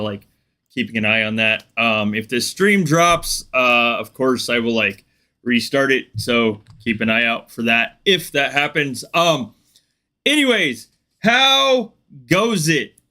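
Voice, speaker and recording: A man hosting a casual live gaming stream, still a little congested from the flu. He talks slowly and loosely, leaning on ums and likes. His voice sits at a middle pitch with a bright edge. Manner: relaxed, chatty, many fillers.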